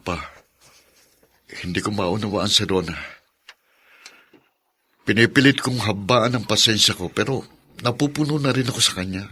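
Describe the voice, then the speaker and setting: A devoted Filipino father at the end of his patience in a radio drama. His voice is forceful and raspy, earnest and emotional. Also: fairly low pitch, moderate pace, long pauses. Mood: weary, pleading. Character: frustrated young father, devoted, losing patience with his wife.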